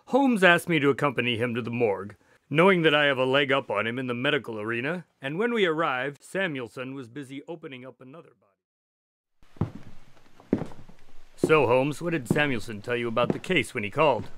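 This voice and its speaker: An actor playing a courteous, curious doctor and detective's sidekick in a radio drama, with a polite manner. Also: male voice, mid-range voice, expressive, fairly quick, background noise, lightly acted. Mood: genial, storytelling.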